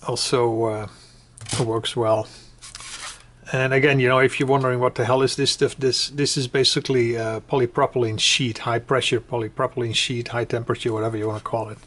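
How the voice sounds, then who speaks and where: A man giving a casual, unscripted hobby tutorial, conversational and hesitant, often pausing mid-sentence. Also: mid-range voice, a bit bright, slight background noise. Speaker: hobbyist demonstrating a build. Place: indoors at home.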